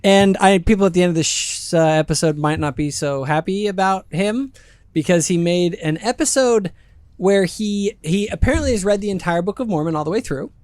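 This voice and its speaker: A man's voice: sits high, brisk, a little mellow, no room sound. A man hosting a reaction show, moving between scripted introduction and casual chat with friends. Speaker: channel host.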